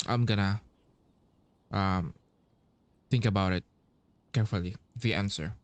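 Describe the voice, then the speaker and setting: A man giving casual game commentary, thinking aloud haltingly with long pauses. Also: fairly low pitch, no echo, muffled recording. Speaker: gaming streamer playing through a story quest. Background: game music.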